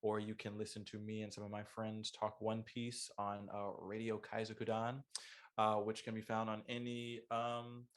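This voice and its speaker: A man chatting after a tabletop session, excitable and loose. He rambles through his thoughts with plenty of fillers and casual swearing. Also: lowish voice, moderate pace. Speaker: player. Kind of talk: casual post-game chat.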